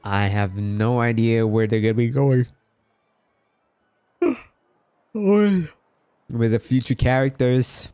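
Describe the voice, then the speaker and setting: A man's voice: mid-range voice, slow, pauses often, dark tone, smooth, dry recording, faint music behind. A man from the Philippines chatting casually as he hosts a game livestream. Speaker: game streamer.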